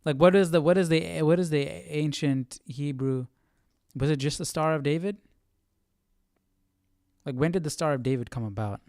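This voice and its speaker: A man's voice: a little high, moderate pace, darkish tone, no echo, clean studio sound. A man hosting a casual Bible study stream, thinking aloud, asking questions and reading verses. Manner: questioning, musing. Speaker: Bible study livestream host.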